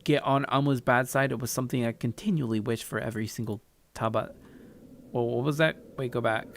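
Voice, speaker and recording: A man's voice: mid-range voice, steady tone, a little fast, a little hiss or hum. A man reading a story aloud in a casual way, stumbling over words and correcting himself. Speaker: video maker reading aloud a story game. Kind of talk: casual read-aloud narration with asides.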